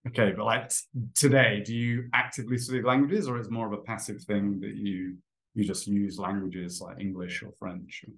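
A man's voice: on the low side, steady tone, fairly bright tone, rough recording. Friendly man hosting an English-learning podcast. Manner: friendly, with frequent fillers and restarts, slows down to explain words. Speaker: podcast host and English teacher. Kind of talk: casual interview for English learners.

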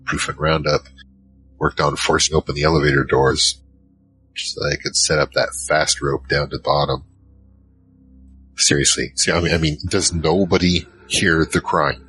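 An actor playing a giant troll, worried and soft-hearted, narrating in the first person in an audio drama. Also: male voice, very deep voice, fairly even intonation, normal speed, low-quality recording. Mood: anxious. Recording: music behind.

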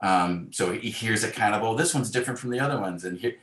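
Low-voiced man, roomy sound. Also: fast, fairly bright tone. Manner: discursive, self-deprecating, wry. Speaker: novelist, interview guest. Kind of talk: reflective conversational interview.

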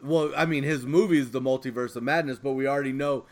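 A man's voice: medium pitch, fairly even intonation, fast talker. A man co-hosting a podcast, chatting casually about pop culture. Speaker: podcast co-host.

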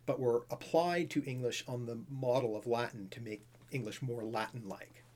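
A man explaining the history of grammar rules in a casual, thoughtful conversation, often pausing with fillers. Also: mid-range voice, steady tone, darkish tone. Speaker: language expert guest.

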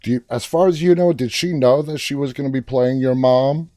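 A man with a warm, mellow voice of medium pitch hosts a casual fan interview. He is earnest and emphatic, often restating his point. The recording is very dry, with no room sound. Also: fairly quick, no room sound. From Queens, New York, United States. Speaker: interviewer, fan show host.

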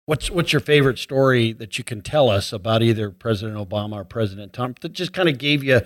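A man's voice: medium pitch, fast talker, no room sound, studio quality. A man hosting an interview, affirming and conversational as he asks questions. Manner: affirming, steady backchannels. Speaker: host.

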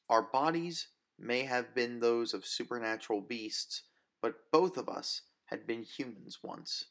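Casting: male audiobook narrator with a lowish voice for dramatic first-person fiction. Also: a bit bright.

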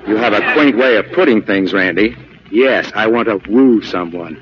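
An actor playing an earnest, shy and proper gentleman stockbroker, formal and hesitant, in 1940s radio comedy style. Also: fairly low pitch, normal speed, lightly acted. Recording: muffled, noisy old radio broadcast recording.

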